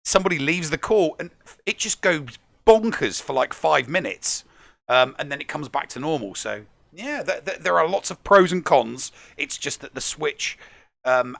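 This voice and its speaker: A man's voice: a little high, expressive, moderate pace, vocal fry, a bit bright, no room sound. A man hosting a podcast in casual live-stream chat, jokey, laughing often and repeating words when he gets going. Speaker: podcast host.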